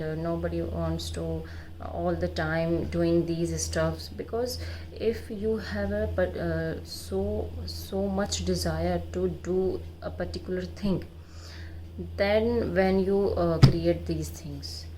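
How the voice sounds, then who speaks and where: A woman's voice: lowish voice, mellow, a little hiss or hum. A young Indian woman whose first language is not English, giving advice in a vlog, with many fillers and restarts. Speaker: vlogger. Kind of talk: unscripted advice monologue.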